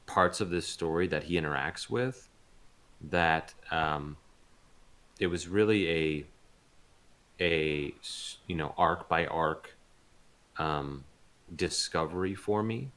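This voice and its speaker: Deep-voiced, halting man in interview. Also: fairly bright tone. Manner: halting, thoughtful, searching for words. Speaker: voice actor being interviewed. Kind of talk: casual interview chat.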